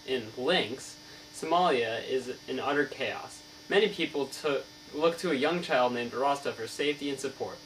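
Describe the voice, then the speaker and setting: An earnest, learned novelist, acted by a man, answers interview questions in formal, recited sentences. He trips over the odd word. The voice sits at a middling pitch, recorded away from the mic with mains hum underneath. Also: fairly bright tone, recorded from a distance, lightly acted, poor audio. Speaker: student playing an interviewed author. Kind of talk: scripted mock interview answers.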